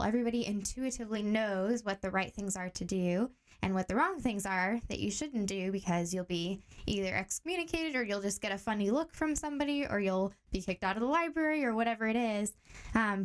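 A young adult woman from Tanzania, a guest on a podcast, calmly explaining ideas step by step with examples. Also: medium pitch. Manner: calm, steady explaining with clear examples. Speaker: guest, director of training.

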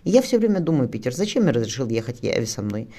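Man voicing a wistful young nobleman, slightly creaky. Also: mid-range voice, sing-song, fast, mellow. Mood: grieving, rueful.

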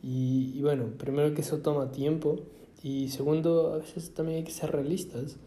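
A man from Ecuador musing aloud in a casual monologue, halting often with fillers and restarts. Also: a little high, level tone, mellow. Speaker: vlogger. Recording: echoey room.

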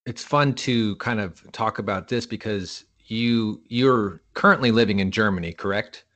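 A laid-back man from the East Coast of the United States hosts a casual interview, his voice sitting a little low. He talks in a relaxed way, tossing in "man" and "dude" as he asks questions. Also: moderate pace, dull audio. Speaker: podcast host.

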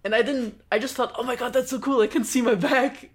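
A woman from Georgia, a native Georgian speaker, telling a personal story in a casual group chat with animated bursts and laughs between phrases. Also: fairly high pitch, a little fast, breathy edge. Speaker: podcast co-host.

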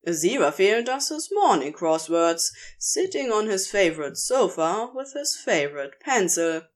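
A woman reading a story aloud as narrator, in an expressive scripted style. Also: mid-range voice, moderate pace. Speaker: narrator, amateur audio fiction reader.

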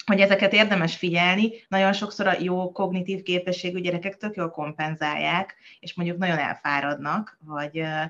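A woman's voice: fairly low pitch, steady tone, hardly any pauses, fairly bright tone. Hesitant woman psychologist, muffled sound. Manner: thoughtful, many hesitations and restarts. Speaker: child psychologist guest. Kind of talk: conversational expert explanation.

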